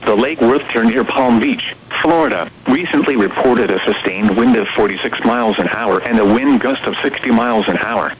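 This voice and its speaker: A man's voice: mid-range voice, normal speed, hardly any pauses. Synthetic male weather radio voice. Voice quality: flat, evenly paced text-to-speech delivery. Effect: computer-synthesized voice. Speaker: automated weather broadcast voice. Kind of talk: synthesized weather report reading. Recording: muffled radio receiver recording with faint hiss.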